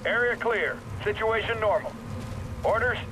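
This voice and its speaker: A brisk, tough combat sergeant in a game cinematic. He reports in clipped military phrases, pitched high, with clear battlefield noise and gunfire around him. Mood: urgent, businesslike. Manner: clipped military radio talk.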